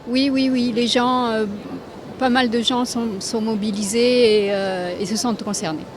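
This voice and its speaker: A woman volunteer at a charity stand, answering in a measured, hesitant way. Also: on the high side, steady tone. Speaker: association volunteer. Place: outdoor fair stand. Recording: clear background noise.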